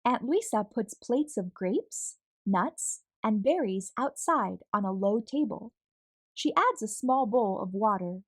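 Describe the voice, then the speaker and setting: Animated woman reading a picture book. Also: medium pitch, takes it slowly. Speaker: children's book reader. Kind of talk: read-aloud storytelling.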